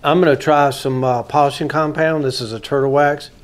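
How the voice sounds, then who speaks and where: A man's voice: fairly high pitch, steady tone, a little fast. A man giving casual, plain-spoken commentary as a hobbyist restorer, thinking aloud while he works on a hands-on repair.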